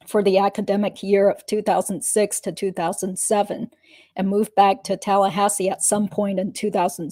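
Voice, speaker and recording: A woman acts as a guarded female witness in a legal deposition reading. Her voice is soft-edged and mellow, at a middling pitch. Answers come measured and matter-of-fact. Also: natural voice. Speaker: reader playing a witness.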